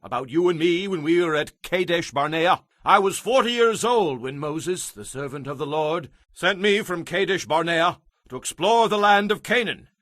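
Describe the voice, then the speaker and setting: A man's voice: a little high, expressive, normal speed, fairly bright tone, dry recording, clean studio sound. A man playing a vigorous, devout eighty-five-year-old warrior, earnest and proud, in a dramatized audio Bible with declamatory, emphatic delivery. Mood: solemn, earnest.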